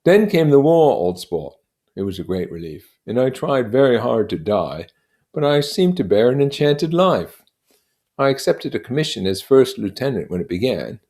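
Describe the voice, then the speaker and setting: A man acting out a grandiose young gentleman war veteran, raised pitch. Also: lightly acted. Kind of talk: acted dialogue in an audiobook reading.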